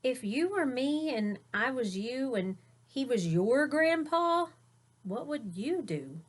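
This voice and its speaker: A woman's voice: sits high, expressive, slow pace, voice pushed up, exaggerated voice. Woman voicing an earnest little storybook girl. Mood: earnest, questioning. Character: earnest second-grade girl in a children's storybook.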